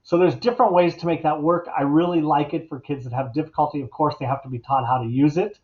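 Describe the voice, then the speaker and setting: A middle-aged man giving a casual, instructional software tutorial as an educator at his computer. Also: a little high, fairly even intonation, quick pace. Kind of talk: casual instructional screencast narration. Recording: dull, lo-fi computer mic sound.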